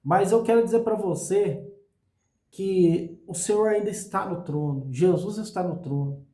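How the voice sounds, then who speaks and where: A male pastor preaching a sermon for his online channel, hoarse from a cold, in an earnest and unhurried way. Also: sits high, mellow, echoey room. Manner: earnest, slow preaching with frequent "meus irmãos".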